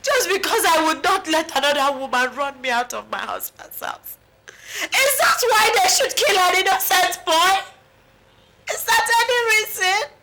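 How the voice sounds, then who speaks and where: An actress with a Nigerian accent playing an anguished, defiant bereaved mother in a film melodrama, wailing and pleading in drawn-out cries. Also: on the high side, very animated, roomy sound, distant mic. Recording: noisy film location sound with clicks.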